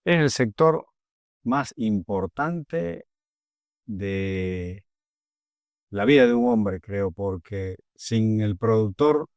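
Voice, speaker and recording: A middle-aged man with a Bolivian Spanish accent, a guest in an interview, who answers in a measured, earnest way with pauses and fillers. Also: on the low side, lively intonation, darkish tone, no room sound. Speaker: agricultural leader, guest.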